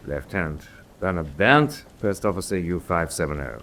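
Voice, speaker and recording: An actor playing a senior officer in a radio drama, dry and authoritative, with a clipped, formal manner of briefing. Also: male voice, deep voice, lively intonation, normal speed, fairly bright tone. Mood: dry, probing. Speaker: senior prisoner-of-war officer.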